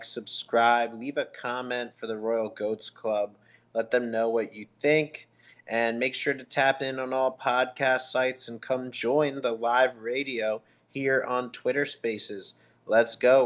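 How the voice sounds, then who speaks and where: A man's voice: mid-range voice, a bit bright. A man hosting a casual live online radio show and podcast.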